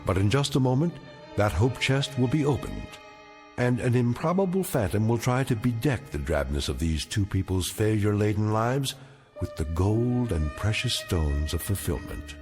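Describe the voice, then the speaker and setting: A man delivering scripted dramatic radio narration in a solemn, measured, portentous manner. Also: lowish voice, animated, a little mellow. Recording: long reverb over faint music.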